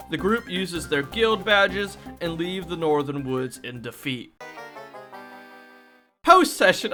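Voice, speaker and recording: Male storyteller host for tabletop gaming video: bright, forceful high voice, theatrical and playful delivery. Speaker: video host and game master. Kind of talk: animated scripted storytelling of a tabletop campaign.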